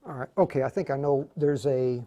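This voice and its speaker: A man hosting an unscripted tech tutorial, informal and halting, often muttering to himself as he works through the steps. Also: mid-range voice, steady tone, dark tone. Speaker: tutorial host demonstrating radio programming. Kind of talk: unscripted livestream tutorial, thinking aloud.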